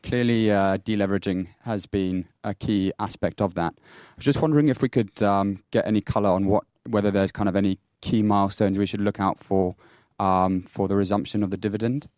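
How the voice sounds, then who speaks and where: A man's voice: fairly low pitch, steady tone, brisk, a little mellow, no room sound, studio quality. A man speaking as a financial analyst, politely putting questions on an earnings call.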